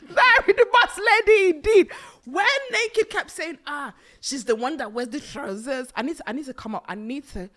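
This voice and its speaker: A young woman hosting a podcast from a small studio set, chatting emphatically about a reality show into a boom mic, with bursts of laughter. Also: moderate pace, few pauses, a bit bright. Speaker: podcast host. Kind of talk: casual chat reviewing a reality show. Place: small purple-lit studio set on a sofa. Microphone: studio mic on a boom arm.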